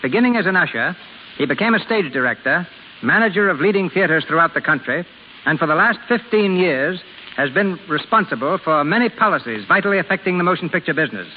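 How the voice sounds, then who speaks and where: A male 1930s radio host reads a script in a formal, stately way. His voice sits somewhat high. The old recording is narrow and tinny, with long reverberation. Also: animated, fast talker, slight background noise.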